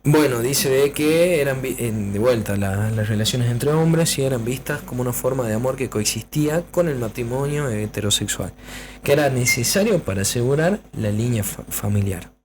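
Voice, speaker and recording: A man's voice: medium pitch, animated, normal speed, darkish tone, slight background noise. A man co-hosting a casual podcast, speaking hesitantly with frequent restarts. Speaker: podcast co-host.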